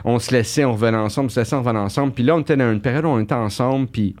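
A man's voice: mid-range voice, racing, darkish tone. Rambling Québécois man telling a story. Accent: Québécois French. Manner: rambling, lots of restarts and fillers. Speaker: podcast guest. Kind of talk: casual storytelling chat.

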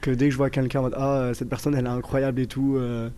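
A man in his twenties from southern France, speaking with a southern French accent as a guest in a casual interview, often pausing with fillers and restarting his sentences. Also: mid-range voice, level tone, warm tone, clean tone. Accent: southern French, Toulouse area. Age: 20s. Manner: hesitant, many fillers and restarts.

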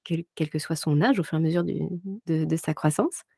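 A woman's voice: low-pitched, brisk, warm tone, breathy edge, no room sound, studio quality. A woman hosting a podcast, asking the guest hesitant, encouraging follow-up questions. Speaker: podcast host. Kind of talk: podcast interview.

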